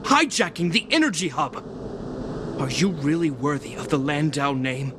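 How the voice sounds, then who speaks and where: An actor playing a stern, dutiful young military captain in a video game cutscene, formal, righteous and emphatic. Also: male voice, on the high side, expressive, moderate pace, background noise, lightly acted. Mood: indignant.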